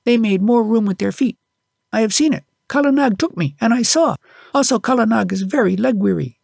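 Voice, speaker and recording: A man's voice: high voice, brisk, vocal fry, a little mellow, slightly rough, no echo, studio quality, pitched above the performer's own voice, heavily acted. A man voicing a small earnest boy who tells his tale in short, insistent phrases for an audiobook.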